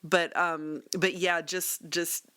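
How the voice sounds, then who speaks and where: A woman's voice: fairly low pitch, level tone, slow pace, bright, forceful tone, smooth. A woman talking casually as a player in a group game discussion. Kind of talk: casual chat.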